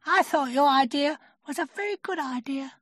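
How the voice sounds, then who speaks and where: A reader voicing a small, timid male piglet in a children's storybook, nervous and squeaky. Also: normal speed, fairly bright tone, no echo, studio quality. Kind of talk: children's storybook character reading.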